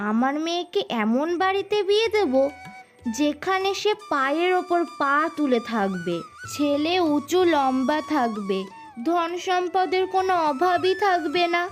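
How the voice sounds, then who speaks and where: A woman voicing a proud, doting, sharp-tongued village mother in a moral-story cartoon, with an emphatic manner. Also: extremely high pitch.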